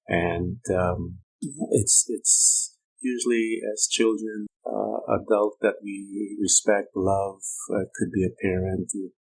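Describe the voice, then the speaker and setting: Middle-aged male podcast host, very low flat delivery, reflective and hesitant. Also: creaky, slightly rough, low-quality recording. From Guyana. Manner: slow and halting, many ums and uhs. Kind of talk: reflective personal-development monologue. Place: United States.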